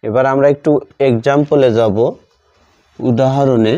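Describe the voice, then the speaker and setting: Slow-talking Bengali man teaching. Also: mid-range voice, fairly even intonation, warm tone. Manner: halting, repeats phrases in short fragments. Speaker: English grammar teacher. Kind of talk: step-by-step grammar lesson, spoken while writing. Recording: dull lo-fi sound.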